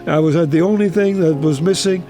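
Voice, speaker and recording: A man in his eighties from Hungary, a native German speaker, recalls his life in a halting, repetitive, plain-spoken way, as in an oral history interview. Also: fairly high pitch, mellow. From Hungary, German-speaking household. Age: 80s. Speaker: retired teacher recounting his life. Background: music under the voice.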